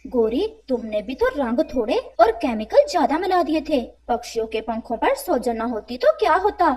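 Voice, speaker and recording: Woman as a high-pitched boastful male crow. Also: very animated, normal speed, warm tone. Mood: accusing. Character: boastful, spiteful male crow, a cheating shopkeeper.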